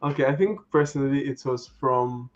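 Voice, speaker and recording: A man's voice: mid-range voice, moderate pace, muffled recording. A man from Nigeria with a Nigerian accent, giving a friendly, casual tech workshop as a guest speaker over a video call. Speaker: guest speaker, network engineer.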